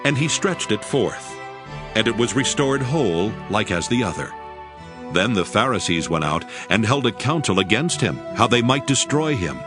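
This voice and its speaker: A man's voice: fairly low pitch, big pitch swings, normal speed, music in the background. A man narrating scripture from a script as a formal storyteller in an audio dramatization.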